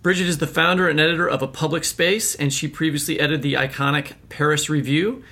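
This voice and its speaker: A man's voice: on the high side, steady tone, fast, vocal fry, bright, forceful tone. A man co-hosting a literary podcast, introducing guests and asking questions in an upbeat, joking way. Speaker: podcast co-host. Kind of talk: podcast interview.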